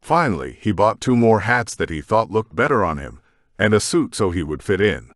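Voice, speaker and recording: Low-voiced man narrating an audiobook. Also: big pitch swings, moderate pace, no echo, clean studio sound. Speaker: narrator and author.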